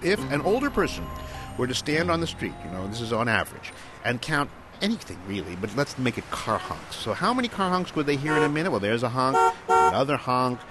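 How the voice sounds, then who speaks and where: Wildly animated American radio storyteller, man. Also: mid-range voice, fairly quick, loud music behind the voice. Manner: dramatic storyteller, repeats and stretches key words. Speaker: radio science correspondent. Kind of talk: conversational narrated radio science story.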